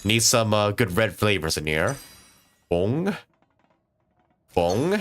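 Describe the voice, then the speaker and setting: Bright, animated male game streamer. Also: on the low side, slow. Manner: swearing, muttering to himself as he plays. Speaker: live streamer. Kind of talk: casual, unscripted gameplay commentary. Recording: game music behind.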